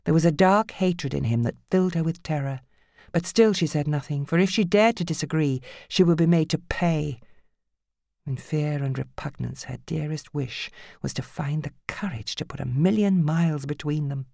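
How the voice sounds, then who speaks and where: Woman reading a dark novel, creaky low-key voice, measured and grave, super dry studio sound. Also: no room sound. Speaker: audiobook narrator. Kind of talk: dramatic scripted fiction narration.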